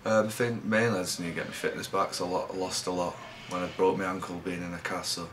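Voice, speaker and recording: A young man from England, a rugby league player giving low-key, halting interview answers. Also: flat delivery, noisy background.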